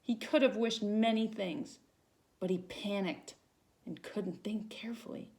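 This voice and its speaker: A woman reading a children's storybook aloud as a volunteer, with dramatic pauses and emphasis. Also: medium pitch, a little mellow.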